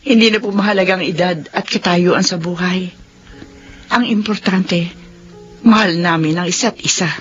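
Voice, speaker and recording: A woman acts out a middle-aged school principal in a radio drama, earnest and dignified. Her pitch is on the low side and her phrasing polite and measured. The sound is somewhat dull, with music behind. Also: close to an everyday voice.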